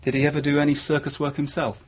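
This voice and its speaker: An actor playing a dry, guarded detective sergeant in a radio drama, speaking in a terse, understated way. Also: male voice, a little high, monotone, quick pace, natural voice. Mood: politely probing. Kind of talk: naturalistic radio drama acting. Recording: dull old radio broadcast recording.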